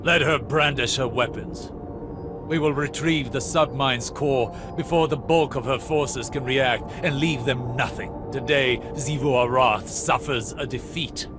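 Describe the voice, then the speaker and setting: An actor playing a stern, scholarly warlock strategist in a video game, speaking formally with theatrical authority. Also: male voice, medium pitch, a little slow, music in the background, natural voice. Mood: defiant. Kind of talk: scripted game dialogue.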